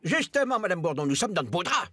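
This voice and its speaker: A man's voice: sits high, sing-song, fast, sharp tone, exaggerated voice. A man voicing a flustered, pompous school headmaster in a cartoon, melodramatic and officious. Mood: flustered, indignant. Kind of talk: French animated series dubbing.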